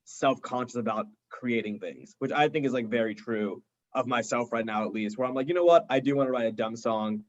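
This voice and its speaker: A young man with a medium-pitched voice talks casually as a musician being interviewed. His informal answers ramble along, and the audio is somewhat dull and lo-fi. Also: brisk. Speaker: musician guest. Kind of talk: casual chat.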